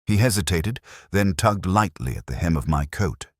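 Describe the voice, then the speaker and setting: A man playing a brooding, erudite police detective, narrating his case as a measured, melancholy, literary first-person mystery story. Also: sits low, lively intonation, slightly rough, dry recording, clean studio sound. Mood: brooding, reflective.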